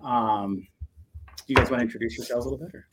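A man, hosting a casual live show in an upbeat, halting way with many uhs. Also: medium pitch, fairly even intonation, noisy background. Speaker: show host.

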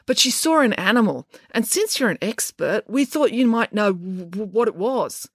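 A woman's voice: medium pitch, lively intonation, husky, dry recording, clean studio sound, voice pushed up. A woman voicing a hesitant boy who stammers and stutters on his words as he talks. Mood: hesitant, earnest. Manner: halting, stuttering on first sounds.

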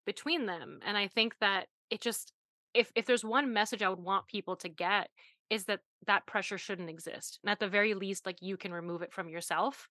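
A woman's voice: mid-range voice, bright, forceful tone, dry recording, studio quality. A woman born in the United States hosting a podcast, talking candidly and emphatically in casual conversation. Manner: candid, emphatic, quick asides. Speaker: podcast host.

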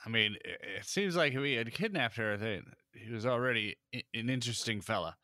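A man's voice: mid-range voice, fairly quick, no echo, studio quality. A man co-hosting a casual podcast, laughing often and joking with his friend. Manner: laughs often, animated, bright. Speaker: podcast co-host. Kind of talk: casual chat.

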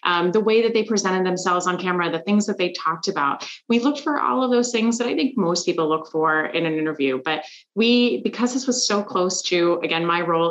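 A woman's voice: lowish voice, a bit bright, highs cut off. A woman business founder answering as an interview guest in an articulate, earnest, explanatory way.

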